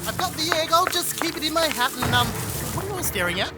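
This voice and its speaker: A woman playing an eager, cheerful, scatterbrained living scarecrow in an audio drama, chirpy and excitable. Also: sits high, fast talker, music in the background. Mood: eager, playful. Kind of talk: full-cast audio drama.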